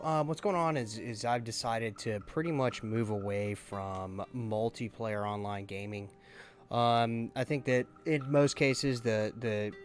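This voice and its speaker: A man giving casual, halting gameplay commentary as a YouTuber, thinking aloud as he goes. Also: mid-range voice. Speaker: gaming YouTuber.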